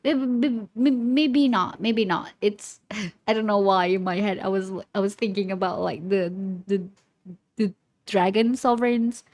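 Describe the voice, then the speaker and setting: A woman's voice: mid-range voice, measured pace, a little mellow, dry recording. A woman giving casual live reaction commentary as a streamer, hesitant and repeating words as she thinks aloud. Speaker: streamer reacting to a game trailer. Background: faint music from the trailer.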